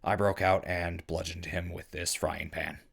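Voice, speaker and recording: Male actor as wasteland radio survivor: low, slightly gravelly, casual, dryly sarcastic, naturalistic. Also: flat delivery, unhurried, noisy background, exaggerated voice. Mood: weary, matter-of-fact. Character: wry, weary male wasteland survivor running a radio station. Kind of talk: naturalistic audio drama acting.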